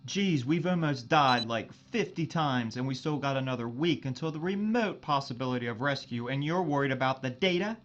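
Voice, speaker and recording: A man's voice: mid-range voice, animated, brisk, hardly any pauses, a bit bright, slight background noise, close to an everyday voice. Blunt, sarcastic male crewman, muffled recording, acted. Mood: incredulous. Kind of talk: science fiction audio drama acting.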